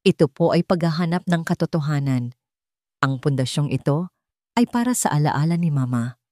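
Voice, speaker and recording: A woman voicing a principled young daughter-in-law in an audio drama, respectful but defiant, steady and earnest. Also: low-pitched, normal speed, no room sound, clean studio sound. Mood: steady resolve.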